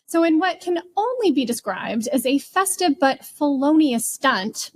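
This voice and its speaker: A woman's voice: high voice, expressive, unhurried. A woman co-hosting a podcast, chatting casually and recounting news stories. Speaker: podcast co-host.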